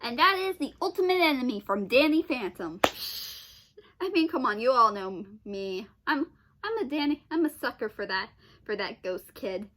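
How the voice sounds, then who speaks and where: A young woman hosting a casual, unscripted commentary, halting as she repeats and rephrases, with exclamatory reactions. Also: on the high side, animated, a bit bright, a little hiss or hum. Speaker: online video host.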